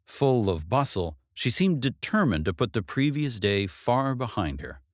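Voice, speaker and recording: A man's voice: medium pitch, big pitch swings, unhurried, no room sound, studio quality. A man reading a novel aloud as an audiobook narrator, in scripted storytelling style.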